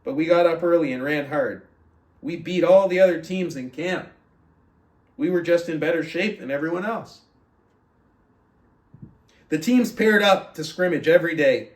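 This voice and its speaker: A man's voice: fairly high pitch, moderate pace, long pauses. A man speaking as an earnest, conversational motivational book club host, moving between talking to listeners and reading aloud. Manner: earnest, conversational, rhetorical check-ins like "right?". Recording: roomy echo, distant mic.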